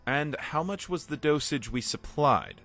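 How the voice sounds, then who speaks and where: A man's voice: on the high side, expressive, unhurried, a bit bright. A man playing a commanding, shaken scientist in charge in an audio drama.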